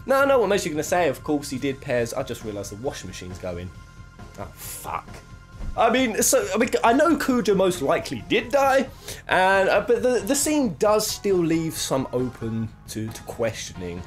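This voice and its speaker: Animated, joking man hosting a list video. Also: high-pitched, normal speed, faint music behind. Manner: crude, florid, playful hyperbole with swearing. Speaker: video host. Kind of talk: casual, jokey list commentary.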